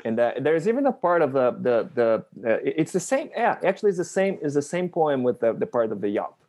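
A man's voice: mid-range voice, sing-song, a little fast, darkish tone. A man from Brazil, a film writer-director answering interview questions in a hesitant way, with many restarts and small laughs.

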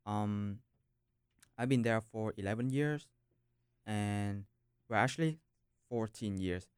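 A man's voice: fairly low pitch, slow, darkish tone, smooth, no room sound, clean studio sound. A young man in his late teens from Vietnam, a native Vietnamese speaker, chatting casually as a podcast guest. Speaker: podcast guest, college student. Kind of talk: casual interview talk.

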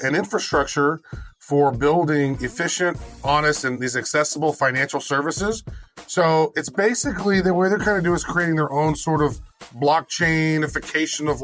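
A man co-hosting a podcast with a hoarse voice, rambling casually with lots of fillers. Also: on the high side, moderate pace, a bit bright, soft background music. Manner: rambling, filler-heavy, laid-back. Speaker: podcast co-host.

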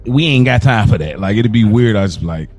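Low-voiced American man over music. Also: animated, fairly quick, darkish tone. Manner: slangy, repeats phrases for emphasis. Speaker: podcast co-host. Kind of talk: casual podcast chat. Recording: music playing behind the voice. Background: clear background music.

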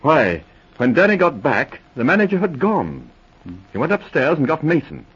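An actor playing an eager, excitable police officer giving urgent reports in a 1940s radio drama. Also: male voice, on the high side, moderate pace, husky, faint music behind, heavily acted. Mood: earnest reporting. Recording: muffled old radio recording.

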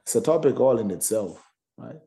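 A man co-hosting a podcast in casual chat, halting and repeating words before he makes his point. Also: medium pitch, expressive, creaky, dark tone. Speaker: podcast co-host.

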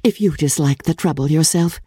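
A woman voicing a gentle, hopeful young gentlewoman in a dramatic reading of a classic novel, earnest and soothing in formal period diction. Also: sits low, normal speed, slightly rough, no room sound, studio quality, voice pushed up. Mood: kindly.

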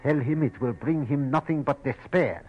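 An actor playing a bitter, menacing, disfigured villain bent on revenge, hissing and theatrical in formal phrases, in an old-time radio crime drama. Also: male voice, mid-range voice, normal speed, darkish tone, lightly acted. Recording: noisy vintage radio drama recording.

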